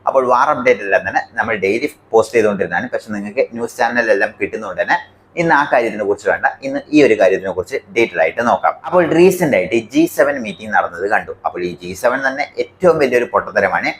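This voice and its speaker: A man's voice: on the low side, animated, racing, a little hiss or hum. A man from India with a Malayali accent explains the news to viewers as a commentary host, emphatic and point by point.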